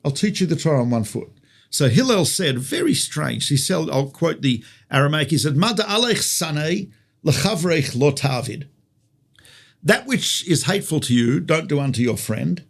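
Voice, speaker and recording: An Australian man teaches as a rabbi in a conversational, storytelling way. His voice sits a little high for a man and has a clear gravelly fry. He poses rhetorical questions and repeats key phrases for emphasis. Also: very animated, normal speed.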